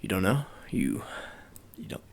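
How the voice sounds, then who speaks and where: A man's voice: low-pitched, sing-song, a little slow, darkish tone, full character voice. A man playing an awkward, politely doubtful sports agent in an improvised ASMR roleplay, stammering and repeating his phrases as he talks to the listener.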